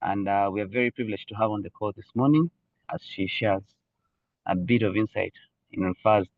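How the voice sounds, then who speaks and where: A man's voice: on the low side, fairly quick, no room sound. A man hosting a business call, speaking hesitantly with fillers and restarts. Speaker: call host. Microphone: phone call. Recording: tinny dry phone-line call audio.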